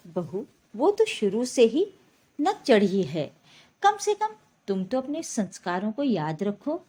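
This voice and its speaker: A woman's voice: fairly high pitch, wildly swooping pitch, takes it slowly, mellow, husky, full character voice. A woman voicing an older mother-in-law in a spoken story, coaxing and admonishing. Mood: coaxing admonishment. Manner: admonishing, persuasive.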